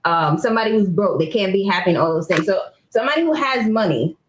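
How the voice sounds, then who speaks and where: A woman's voice: medium pitch, expressive, quick pace. A woman on a panel who pushes back and asks pointed questions. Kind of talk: casual panel debate. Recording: echoey room with noise.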